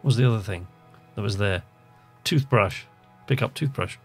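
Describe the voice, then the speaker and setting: A man's voice: lowish voice, moderate pace, vocal fry, slightly rough, faint music behind. A man giving casual let's-play commentary, chatty and hesitant as he thinks aloud and reads game text. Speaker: host of a video game channel.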